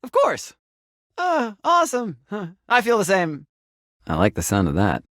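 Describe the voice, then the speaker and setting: A man's voice: sits high, huge pitch swings, a little slow, fairly bright tone, no room sound, studio quality, full character voice. A man voicing a friendly, geeky, playful game writer in a video game, chatty, informal and enthusiastic. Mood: upbeat, candid. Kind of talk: video game character voice acting.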